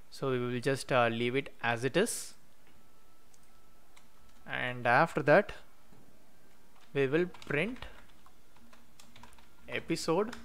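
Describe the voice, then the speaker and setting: Male tutorial host with a smooth, clear mid-pitched voice, narrating code at a desk with typing behind. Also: a bit bright. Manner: explains step by step while typing code, many "uh" pauses. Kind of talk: screen-recorded coding walkthrough. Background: keyboard typing.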